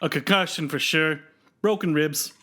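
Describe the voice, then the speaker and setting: A man's voice: on the high side, normal speed, sharp tone. A man playing a matter-of-fact emergency medic in a radio play.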